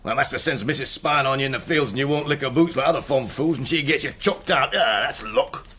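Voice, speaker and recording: An actor playing a bitter, blunt sacked farm labourer in a radio drama. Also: male voice, medium pitch, a little fast, fairly bright tone, a little hiss or hum, close to an everyday voice. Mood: bitter, angry. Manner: blunt, embittered rhetorical questioning in dialect.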